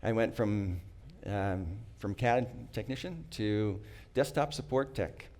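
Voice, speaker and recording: A middle-aged man, not a native English speaker, telling a prepared personal story in an earnest, reflective way, with small restarts and frequent throat-clearing. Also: lowish voice, slow, darkish tone.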